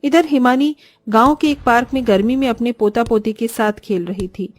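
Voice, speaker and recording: A woman's voice: fairly high pitch, moderate pace, no room sound. A woman narrating a scripted children's cartoon story as storyteller. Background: faint music.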